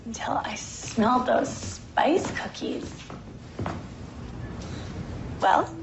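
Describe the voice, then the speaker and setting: An actress playing a friendly, forward young college student in naturalistic TV film dialogue. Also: female voice, a little high, animated, very slow, background noise. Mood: playful. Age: young adult. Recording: roomy, dull sound, faint music.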